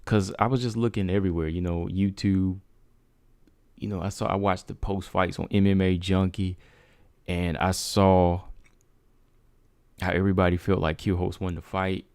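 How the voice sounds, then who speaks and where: Guy doing an mma talk stream from home, real low voice, smooth, dry sound, keeps throwing questions at the viewers. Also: flat delivery, moderate pace, long pauses, a little mellow, dry recording. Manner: talks straight to viewers, asks rhetorical questions. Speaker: MMA talk show host. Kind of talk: casual livestream commentary.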